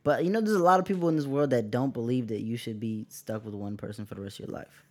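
A young man with a soft voice, hosting a casual student podcast and sounding relaxed and sleepy. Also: medium pitch, quick pace. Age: young adult. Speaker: podcast host. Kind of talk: casual chat.

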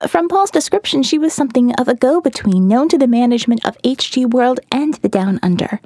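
A woman's voice: on the high side, animated, a little fast, mellow, no echo, clean studio sound. A woman reading scripted first-person dramatic narration as the narrator of an audio novel.

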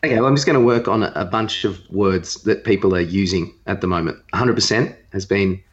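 A man's voice: sits low, brisk. A man with an Australian accent co-hosting a podcast, giving casual opinions in a hesitant, rambling way. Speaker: podcast co-host. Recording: slightly dull lo-fi sound.

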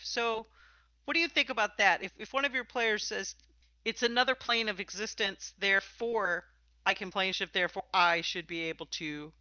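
A woman's voice: medium pitch, sharp tone, dry recording, muffled recording. A woman hosting a casual live podcast interview, chatting and asking questions of a guest.

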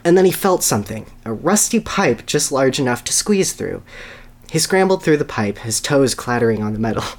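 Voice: mid-range voice, very animated, moderate pace. A podcast co-host giving an amused dramatic reading of a children's story, breaking into asides.

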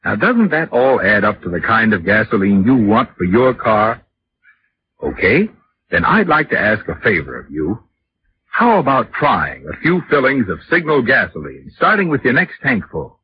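Old time radio ad guy, lively and persuasive pitchman, muffled recording w slight noise. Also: normal speed. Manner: persuasive, conversational. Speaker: announcer, commercial pitchman. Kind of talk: 1940s radio commercial. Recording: dull, faintly noisy vintage radio.

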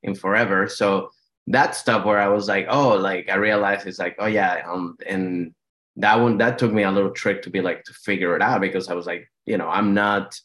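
Low-voiced Venezuelan man chatting. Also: fairly even intonation, normal speed, a bit bright. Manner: easygoing, laughs often, many fillers. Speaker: comedian guest. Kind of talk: casual podcast chat.

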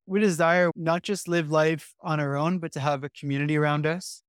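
Smooth-voiced man, chatty. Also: on the high side, no echo, studio quality. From Canada. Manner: relaxed and chatty, leans on "like". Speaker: podcast guest, community worker. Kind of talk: casual interview talk.

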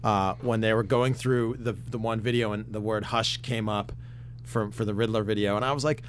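A man co-hosting a casual podcast chat, talking in an excitable, rambling way with lots of fillers and swearing, his voice getting worn. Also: lowish voice, moderate pace, slight background noise. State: losing his voice. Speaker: podcast host.

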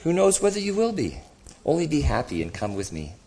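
A man reading as a gentle, cheerful higher-voiced spirit. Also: huge pitch swings, fairly quick, breathy edge, exaggerated voice. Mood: gently urging. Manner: gentle, patient, lightly amused. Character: gentle, cheerful redeemed male spirit, patient and humble. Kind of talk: storybook character reading.

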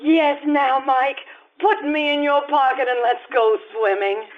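A woman playing a flustered, excitable young society bride in an old-time radio play, stammering and gushing theatrically. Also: sits high, measured pace, very noisy. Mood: giddy, flustered. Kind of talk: old-time radio acting, live stage performance. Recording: dull, lo-fi stage radio recording.

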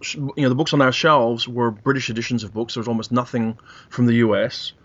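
A man's voice: medium pitch, steady tone, a little fast, a bit bright. A middle-aged man co-hosting a podcast in a casual, conversational way. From Australia. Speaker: podcast co-host. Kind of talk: casual chat. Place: remote internet call. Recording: muffled internet call.

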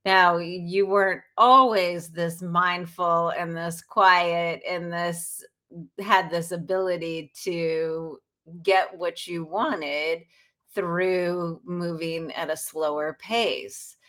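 A woman's voice: lowish voice, fairly even intonation, slow, pushed and bright. A woman hosting a podcast, affirming her guest and leading into questions. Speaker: podcast host. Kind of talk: interview conversation.

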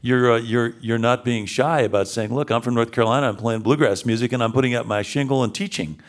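A man's voice: medium pitch, quick pace. A man hosting a radio show, interviewing a guest in an informal, encouraging, conversational way with loosely phrased questions.

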